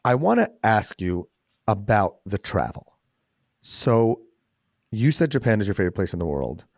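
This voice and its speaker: A man's voice: fairly low pitch, very animated, normal speed, a little mellow, dry recording, studio quality. A man hosting a podcast, chatting casually and making joking asides. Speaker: podcast host. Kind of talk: casual interview chat.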